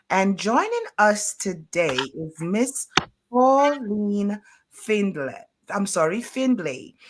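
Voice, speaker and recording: A woman hosting a community radio interview, speaking in a formal, deliberate, courteous way. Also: fairly low pitch, fairly bright tone. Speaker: radio show host.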